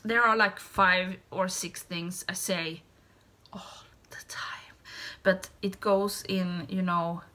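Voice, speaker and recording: Slow, bright-voiced Swedish woman. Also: on the low side, steady tone. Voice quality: light voice. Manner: rambling, hesitant, trails off softly. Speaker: beauty YouTuber. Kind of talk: casual tag video chat.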